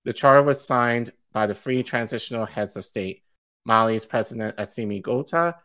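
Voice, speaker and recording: A man's voice: mid-range voice, moderate pace, a bit bright. A male co-host reading news articles aloud on a live stream, stumbling over foreign names and laughing easily. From Igbo-speaking background. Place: home studio, indoors.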